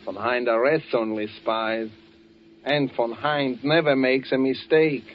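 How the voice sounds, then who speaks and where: An actor playing a smooth, sly German officer who probes his companion in a 1940s radio drama. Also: medium pitch, unhurried, a little hiss or hum, lightly acted. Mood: insinuating. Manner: insinuating, probing, mock-friendly. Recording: muffled 1940s radio broadcast.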